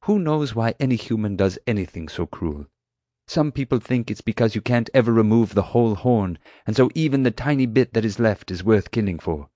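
A man puts on a courteous, earnest ranger who pleads his case with care. The voice sits higher than the narrator's own low tone, recorded close and dry. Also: expressive, brisk, dark tone, no room sound, lightly acted. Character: earnest, polite male park ranger.

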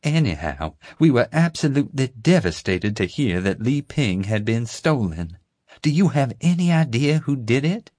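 A man's voice: mid-range voice, very animated, a little mellow, no room sound, clean studio sound, voice pushed up. A man putting on an elderly honeyed Southern lady, Flora. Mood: gracious. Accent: Southern US drawl. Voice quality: slow honeyed drawl.

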